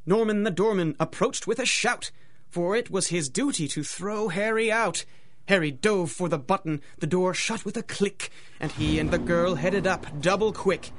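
A woman's voice: on the low side, moderate pace. Woman narrator telling a rhymed tale. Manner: dramatic, singsong rhythm. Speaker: storyteller, narrator. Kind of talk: scripted rhymed storybook narration.

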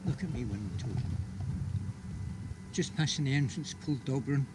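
An older man talks his way through a sailing trip, steady and chatty at a middle pitch. He thinks aloud with plenty of fillers. The sound comes from an action camera out on an open boat deck. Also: moderate pace, background noise. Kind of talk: casual travel narration. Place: outdoors on the deck of a sailboat at sea.

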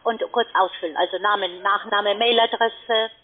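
A woman's voice: mid-range voice, steady tone, normal speed. A woman working as a call centre agent, giving directive step-by-step instructions over the phone. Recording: tinny narrowband phone line.

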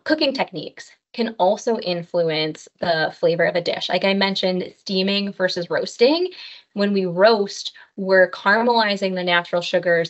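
A woman's voice: lowish voice, fairly bright tone. A woman dietitian teaching an online cooking class, speaking in a friendly, practical way with frequent "um" fillers. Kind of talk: online health class with slides. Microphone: webcam on a video call. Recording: slightly dull video-call audio.

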